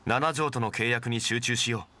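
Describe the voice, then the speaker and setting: Game role, male actor as calm scholarly gentleman, polite and measured, crisp bright timbre. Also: mid-range voice, a little hiss or hum, natural voice. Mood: composed. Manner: composed, formal, measured. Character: calm, refined, erudite gentleman adventurer. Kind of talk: Japanese game voice acting.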